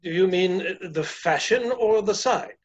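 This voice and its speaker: A man playing a polite, flustered gentleman suitor in a comic play reading, hesitant, formal and apologetic. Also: sits high, a little slow, highs cut off.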